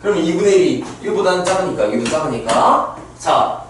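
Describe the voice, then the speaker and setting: A man around thirty teaching math to high school students in a classroom, talking them through each step and checking whether they understood. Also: expressive, brisk, background noise. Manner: talks students through steps, asking "understood?" after each point. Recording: very echoey classroom, mic far off.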